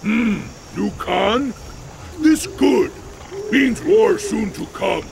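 An actor playing a brash, war-hungry orc in a video game, growling in short broken sentences. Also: male voice, high voice, animated, very slow, noisy background, heavily acted. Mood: eager for battle. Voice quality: gravelly. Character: brash, war-hungry male orc chieftain, brutish and loud. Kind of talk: video game cutscene acting. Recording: game music behind the voice.

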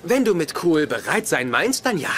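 A nerdy young scientist, speaks in a high voice with big swings of pitch. It is dubbed sitcom acting, with clear noise behind it. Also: male voice, big pitch swings, normal speed. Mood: pompous, precise. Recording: noisy TV sitcom soundtrack.

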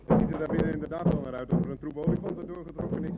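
A man's voice: on the high side, fairly even intonation, rapid-fire, lo-fi sound, loud music behind the voice, natural voice. An actor playing a dutiful policeman relaying messages in a radio drama. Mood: dutiful, businesslike. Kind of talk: Dutch radio drama acting. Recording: very noisy old radio recording.

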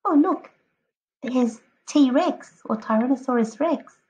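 A woman teacher reading a picture book aloud to children, expressively, addressing them directly and encouragingly with drawn-out emphatic words. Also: high-pitched, darkish tone, low-quality recording. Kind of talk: expressive children's read-aloud with prompting questions.

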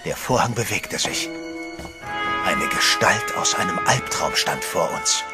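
First-person horror narrator, man, tense delivery, low voice, music underneath. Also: animated, measured pace.

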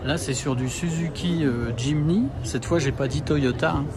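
A middle-aged man with a fairly high voice talks casually to his camera as he vlogs. His manner is informal and a little wry. The recording is outdoors, with clear street and vehicle noise around him. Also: moderate pace. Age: middle-aged, about 50s. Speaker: vlogger. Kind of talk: casual vlog chat to camera. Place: outdoors in a busy mountain village car park and show ground. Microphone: handheld camera at arm's length.

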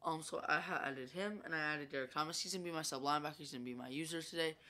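A young man commentating his own gameplay in a loose, rambling way, full of ums and asides. His voice is bright but stuffy and congested. He talks into a desk mic over the game. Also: a little high, racing. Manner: chatty, rambling, filler-heavy. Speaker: gaming YouTuber.

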